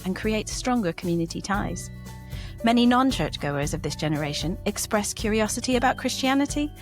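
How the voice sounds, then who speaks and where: A woman hosting a church podcast, reading a scripted introduction and then putting upbeat questions to her guest. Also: medium pitch. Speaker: podcast host.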